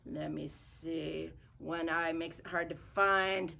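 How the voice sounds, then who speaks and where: A woman reads a children's picture book with theatrical expression. Her voice is bright with a good deal of creak, and she tells the story at an unhurried pace. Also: sits low, fairly even intonation.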